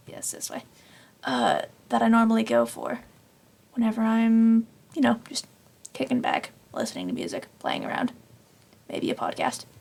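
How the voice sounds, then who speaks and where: Raspy, gravelly-voiced woman playing Minecraft. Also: on the high side, a little mellow. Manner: rambling, self-deprecating, talks to herself while playing. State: slightly raspy from long talking. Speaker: gaming channel host. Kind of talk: casual Minecraft let's play commentary.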